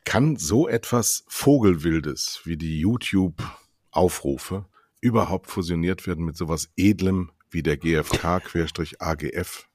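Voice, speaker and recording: A man's voice: deep voice, unhurried, a little mellow, no room sound, clean studio sound. A man hosting a casual interview, asking pointed and emphatic questions.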